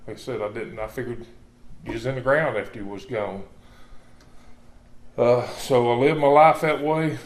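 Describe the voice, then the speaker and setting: A middle-aged man, from southwestern Virginia, giving a rambling personal testimony with dry humor in a church. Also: mid-range voice. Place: church sanctuary. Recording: echoey church room.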